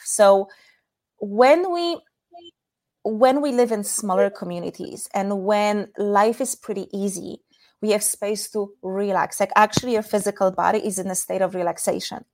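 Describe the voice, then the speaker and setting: Polish-accented woman in her thirties, podcast guest, calm and earnest, walks listeners through exercises, medium pitch. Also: a little slow. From Poland. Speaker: intimacy coach guest. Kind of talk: podcast interview.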